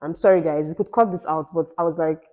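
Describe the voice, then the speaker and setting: A young woman from Nigeria chats casually as a podcast co-host, her voice sitting a little low. She talks emphatically, rambling with restarts and repeating herself. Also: steady tone, quick pace, mellow. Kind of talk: casual chat.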